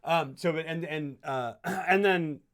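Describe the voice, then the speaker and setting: A man's voice: a little high, takes it slowly, fairly bright tone. A man from Costa Rica co-hosting a casual film discussion on a livestream, enthusiastic, often repeating words as he starts a point. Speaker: co-host.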